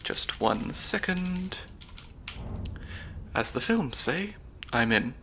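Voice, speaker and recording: A man's voice: a little high, sing-song, very slow, creaky, slight background noise, full character voice. A young man voicing a nerdy, slightly anxious stand-in spy handler with dry wit, in an ASMR audio roleplay. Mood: analytical. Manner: analytical, dry wit, soft-spoken.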